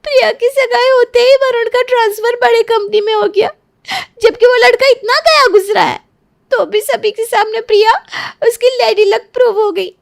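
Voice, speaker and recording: Woman acting a very high-pitched whiny young bride. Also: fairly even intonation, normal speed, creaky. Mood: upset, complaining. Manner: babyish, dramatic complaining. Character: whiny, self-absorbed young bride-to-be in a cartoon. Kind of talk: Hindi cartoon story acting.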